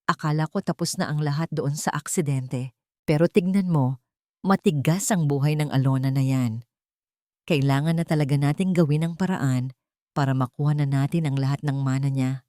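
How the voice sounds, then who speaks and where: A woman narrator voicing a calm, cold-hearted husband in an audiobook, speaking almost without emotion. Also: low voice, normal speed, no echo, studio quality, close to an everyday voice.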